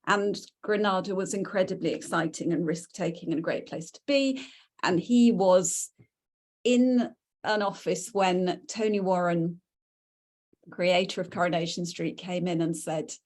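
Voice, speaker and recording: A woman's voice: lowish voice, darkish tone. A British woman giving an informal, reminiscing talk as a guest speaker, hesitant and often repeating words as she goes.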